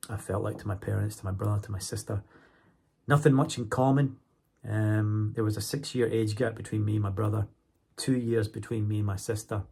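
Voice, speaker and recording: A Scottish man in his forties talks to his viewers in a low, gravelly voice that is roughened by a cold. He chats casually and keeps checking that his listeners are still with him. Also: darkish tone. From Scotland. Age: 40s. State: has a cold. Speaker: YouTuber. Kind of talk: casual monologue to camera.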